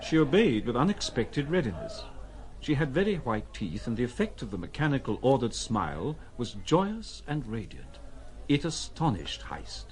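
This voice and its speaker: A man acting as narrator of a literary adventure drama, telling it in a measured, descriptive way with a husky voice. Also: medium pitch, lightly acted, poor audio.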